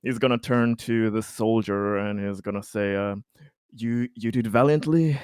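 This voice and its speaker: A man's voice: lowish voice, moderate pace, a little mellow, smooth, no room sound, clean studio sound. A man playing a tabletop roleplaying game, casually narrating what his character does in the third person. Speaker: player.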